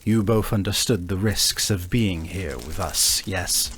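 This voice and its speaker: A man voicing a calm, cryptic and mysterious leader in a tabletop game, terse and measured. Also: low-pitched, voice pushed down.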